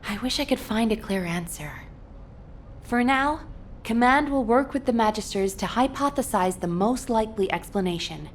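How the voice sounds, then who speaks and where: An actress playing a thoughtful, earnest researcher giving a measured, formal briefing in a video game. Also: female voice, a little high, lively intonation, a little hiss or hum, close to an everyday voice. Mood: grave, pensive.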